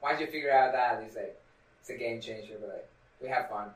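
A man's voice: mid-range voice, fairly quick. A young man co-hosting a podcast in casual chat, with loose, filler-heavy speech and non-native grammar. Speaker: podcast co-host. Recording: echoey room, far from mic.

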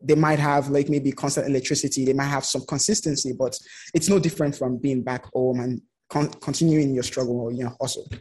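A man's voice: medium pitch, fairly quick, breathy edge. A man speaking as an interview guest, telling personal stories conversationally and acting out the remarks he quotes. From outside the United States. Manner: animated retelling with quoted remarks.

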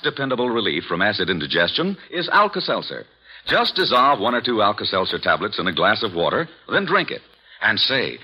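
Old radio ad guy, husky voice, pitch bouncing all over, dull lo-fi broadcast. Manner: bright selling tone. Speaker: radio announcer. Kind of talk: 1940s radio ad read.

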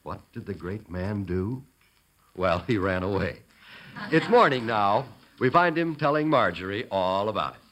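A man's voice: on the low side, big pitch swings, a little slow, fairly bright tone, a little hiss or hum. A man announcing from a script in polished, dramatic 1940s radio style.

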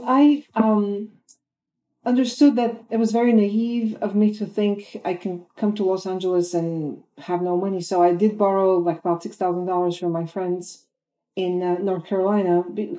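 A woman's voice: medium pitch, fairly even intonation, moderate pace, warm tone, rough recording. A woman in her fifties from Bulgaria, a film composer answering interview questions thoughtfully with many ums and uhs.

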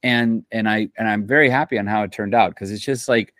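A man's voice: fairly low pitch, steady tone, brisk, a bit bright, no echo, clean studio sound. A man who composes for film and television, talking in a loose, rambling way, full of slang and restarts, in a casual interview. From Central America.

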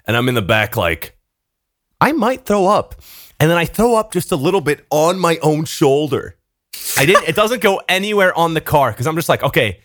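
A man's voice: on the high side, sing-song, normal speed, a bit bright, dry recording, clean studio sound. A man co-hosting a casual podcast, speaking English as a non-native language and telling a profane story while acting out his own lines. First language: not English. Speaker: podcast co-host.

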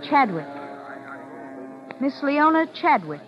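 A woman's voice: high-pitched, sing-song, slow, over music, full character voice. An actress playing a brazen, scheming young woman in a 1940s radio suspense drama. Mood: composed. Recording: muffled old radio recording.

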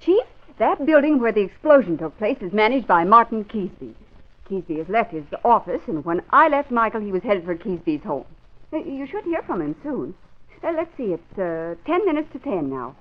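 An actress playing a brisk, earnest young news photographer in an old radio drama. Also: female voice, mid-range voice, very animated, natural voice. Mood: earnest, urgent. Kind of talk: 1940s radio drama acting. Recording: muffled old radio recording.

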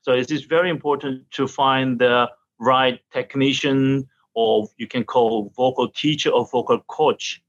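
Halting man, dull audio. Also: mid-range voice, fairly bright tone. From South Korea. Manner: halting, searching for words in English, laughs often. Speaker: opera singer and vocal teacher. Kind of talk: interview answers.

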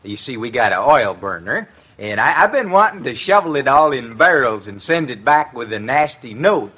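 A folksy man next door from an old radio comedy. His voice is husky and mid-pitched, leaping about wildly as he rambles hesitantly and slyly. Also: very animated, normal speed, sharp tone, heavily acted. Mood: slyly agreeable. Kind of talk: classic radio comedy acting.

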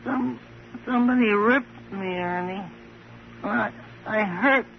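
A man's voice: high-pitched, takes it slowly, vocal fry, voice pushed up, heavily acted. A man voicing a childlike, cheeky, wounded ventriloquist's dummy in old-time radio drama. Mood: hurt, plaintive. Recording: dull, noisy old radio recording.

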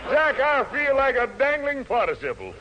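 Old dull 1940s radio recording, american guy emcee, high voice, showy hepcat jive talk. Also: wildly swooping pitch, normal speed, sharp tone, slight background noise. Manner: showy, playful, rhyming hepcat patter. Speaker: radio show host, master of ceremonies.

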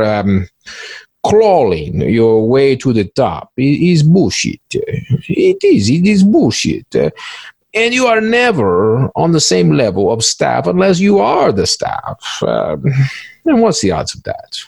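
A man voicing a smug, cynical veteran cybercriminal in an interview skit, with halting, repetitive, stilted phrasing. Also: on the high side, huge pitch swings, gravelly, a little mellow, no echo, voice pushed up, heavily acted. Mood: scornful, emphatic. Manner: halting, repetitive, stilted grammar with drawn-out hesitations.

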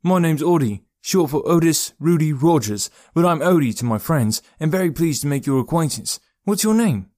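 A man's voice: fairly high pitch, animated, normal speed, hardly any pauses, darkish tone, dry recording, clean studio sound, pitched above the performer's own voice, natural voice. A man voicing a mischievous, chatty young boy in an audiobook, with a teasing, breezy, wry manner. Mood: friendly. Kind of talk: audiobook character voice.

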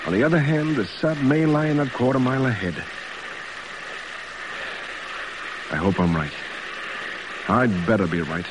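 An actor playing a decisive but anxious wartime merchant ship captain in an old radio drama. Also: male voice, mid-range voice, fairly quick, natural voice. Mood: torn, tense. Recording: noisy old radio broadcast recording.

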